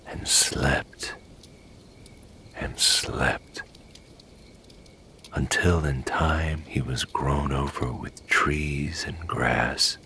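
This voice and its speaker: A man narrating a scripted bedtime story in a breathy, near-whispered, hushed and lingering way. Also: extremely low pitch, slight background noise.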